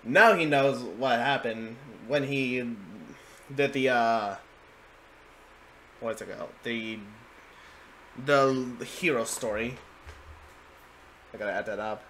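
A man giving casual, halting commentary as the host of a reaction video. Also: mid-range voice, fairly bright tone, a little hiss or hum, poor audio.

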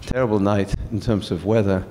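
Middle-aged man from france, low voice, halting, many ums, handheld mic, reverberant hall. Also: fairly even intonation, warm tone, slight background noise. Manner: hesitant, many fillers. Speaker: guest speaker. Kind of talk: onstage conversation. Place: large event hall with seated audience.

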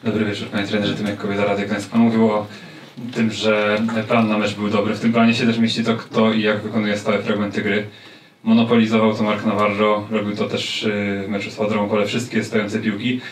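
A radio reporter asks a measured question in a low, monotone voice. He is heard from across an echoey press room, some way from the mic, with a little noise behind him. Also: recorded from a distance. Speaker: radio journalist.

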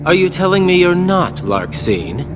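A man's voice: sits high, sing-song, unhurried. A man playing a cool, scheming young villain in a video game, knowing in manner. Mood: wry. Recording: loud background music.

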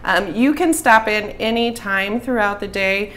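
A woman's voice: mid-range voice, unhurried, fairly bright tone. A woman in her thirties speaking as a guest from a local business, explaining casually. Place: small bar room, indoors. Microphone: clip-on lapel mic. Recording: faint room noise.

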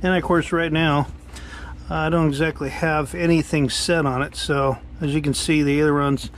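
A man's voice: a little high, fairly even intonation, moderate pace, slight background noise. A middle-aged man casually walking through a hands-on project, rambling and thinking aloud as he works. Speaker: hobbyist builder showing his project. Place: small cockpit rig inside a cluttered workshop.

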